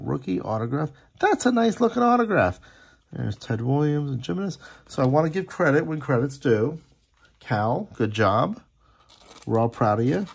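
A man with a husky voice and plenty of fry in it hosts a hobby video from a tabletop indoors. He talks casually as he goes, reading names aloud and chatting appreciatively about what he sees. His pitch sits in an ordinary male range. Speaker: host opening sports card packs. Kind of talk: casual running commentary.

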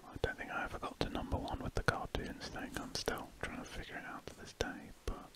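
A British man whispering breathily in a rambling, hesitant ASMR style, with trailing pauses. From United Kingdom. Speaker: ASMR artist narrating while drawing. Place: indoors at a desk, drawing. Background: pen scratching on paper.

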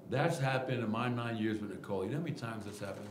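A middle-aged man, a basketball head coach, answering reporters' questions at a post-game press conference podium with a table mic, candid and animated. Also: on the low side, quick pace, reverberant, noisy background. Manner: candid, animated, gestures while explaining. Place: press room at team podium. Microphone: table mic in front of him.

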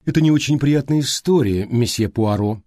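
A man voicing a prim, brisk, unsentimental female secretary in an audiobook, precise and matter-of-fact. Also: mid-range voice, warm tone, no echo, natural voice. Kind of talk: audiobook character reading.

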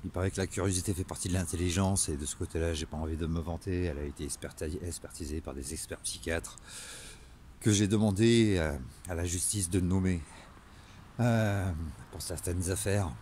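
A man, speaking an earnest, improvised monologue to camera in a halting way with searching pauses. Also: sits low, a little mellow. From Marseille, southern France. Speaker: vlogger. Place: outdoors in a town street at night, later inside a car. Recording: noisy phone selfie audio, ambient noise.

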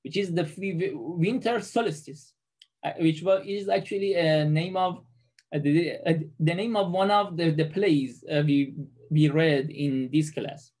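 A man's voice: a little high, a little slow, darkish tone. A man in his thirties with a Persian accent, giving a recorded class presentation from a small room into a webcam, with many fillers and restarts. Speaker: student presenter.

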